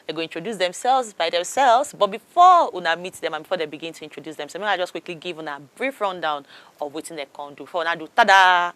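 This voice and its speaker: A woman's voice: deep voice, a bit bright, no room sound. A Nigerian woman hosting a casual TV interview in a studio, chatty and informal, switching between Pidgin and English. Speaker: TV talk show host.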